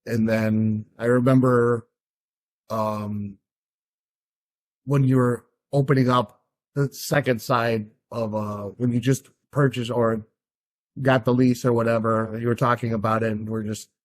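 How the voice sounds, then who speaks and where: A man as a podcast guest, chatting in a relaxed, agreeable way. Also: medium pitch, unhurried. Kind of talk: casual chat.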